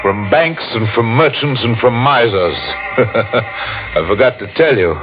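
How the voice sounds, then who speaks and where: An actor playing a scheming faceless devil in a 1940s radio horror play, grandiose and menacing. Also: male voice, mid-range voice, animated, slow. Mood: gloating. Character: sinister faceless male devil, smooth scheming villain. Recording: muffled old radio recording, music behind.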